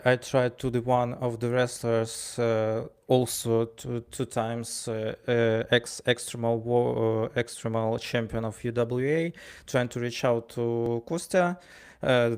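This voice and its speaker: A man with a creaky, gravelly voice and a Ukrainian accent. He talks casually, like a storyteller, stumbling and repeating words as he goes and voicing bits of dialogue. His pitch sits in an ordinary middle range. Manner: halting, stammering over words, animated storyteller acting out dialogue. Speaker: wrestling ring announcer and commentator. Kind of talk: casual spoken anecdote.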